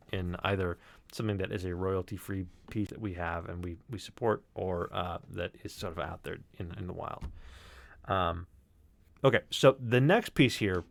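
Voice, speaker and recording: Casual male streamer explaining his craft, lowish voice, informal and discursive, very dry close recording. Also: no room sound. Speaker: sound designer giving a walkthrough. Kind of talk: casual livestream commentary.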